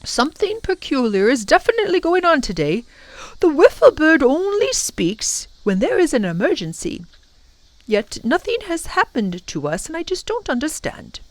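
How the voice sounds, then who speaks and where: A woman's voice: high voice, sing-song, moderate pace, no room sound, voice pushed up. A woman voicing a kindly, fussy older male professor in a storybook, explaining things with donnish exclamations. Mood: flustered, worried.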